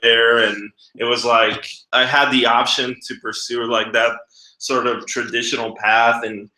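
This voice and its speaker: A man's voice: medium pitch, flat delivery, unhurried, sharp tone. A man in his thirties from Guatemala hosting a casual webinar, chatty and slangy in an informal way. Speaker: webinar host and marketing coach. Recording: echoey room.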